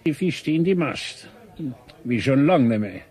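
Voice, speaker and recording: Blustering, animated southern German villager. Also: male voice, medium pitch, a little slow, slightly rough. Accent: southern German dialect. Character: blustering, disbelieving rustic village man. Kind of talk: German radio drama dialogue.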